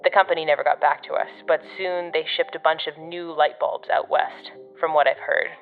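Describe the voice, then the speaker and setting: Audio drama: sardonic female agency operative, low and casual, guiding over a dull radio link. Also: steady tone, a little fast, soft background music. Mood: explaining. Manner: dry, sarcastic, casual. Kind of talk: audio fiction podcast acting.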